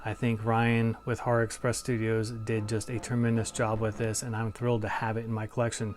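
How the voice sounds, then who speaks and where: A man's voice: fairly low pitch, completely flat delivery, brisk. A man hosting a collectibles review, chatting informally to viewers as an enthusiastic fan. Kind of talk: casual chat to viewers. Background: music.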